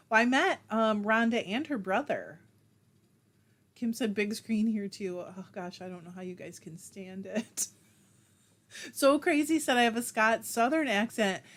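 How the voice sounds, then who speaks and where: A woman at a middle pitch hosts a relaxed livestream. She reads viewer comments aloud and answers them in a chatty, self-deprecating way. Laughter keeps slipping into her talk. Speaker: livestream host.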